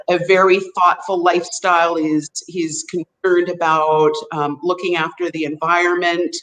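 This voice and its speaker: A woman in her forties with a Canadian accent, talking slowly and in a lowish voice. She rambles warmly through her story on a video call, dropping plenty of fillers as she goes. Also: poor audio. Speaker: guest, hotel sales representative. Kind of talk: casual interview chat.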